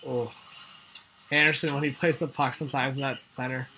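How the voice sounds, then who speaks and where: A man's voice: medium pitch, little pitch movement, brisk, sharp tone, low-quality recording. A young man hosting a live hockey watch-along, chatting with viewers and calling plays while losing his voice. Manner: rambling, talks to chat viewers. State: losing his voice, slight cough. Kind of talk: casual live play-by-play chat.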